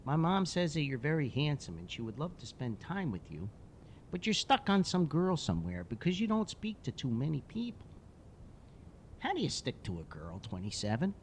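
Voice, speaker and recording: An eager, chatty little boy full of questions, as a man puts him on. The voice sits well above a grown man's speaking pitch and swings up and down on every question. He sounds lonely but bright and keen to hear more. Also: sing-song, a little hiss or hum. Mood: eager, curious. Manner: eager rapid questions in a raised childlike pitch.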